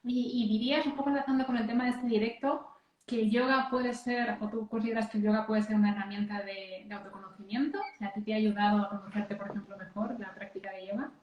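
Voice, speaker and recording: A woman's voice: medium pitch, steady tone, fast talker, dull audio. A woman hosting a live interview, asking friendly questions and often checking in with "right?". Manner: affirming, tags questions with "¿no?". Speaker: interviewer and live-stream host. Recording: echoey room.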